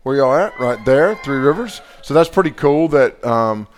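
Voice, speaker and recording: A man, casually hosting a couples panel at a live event, relaxed and chatty. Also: medium pitch, moderate pace, a little hiss or hum. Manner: relaxed, chatty, laughs often.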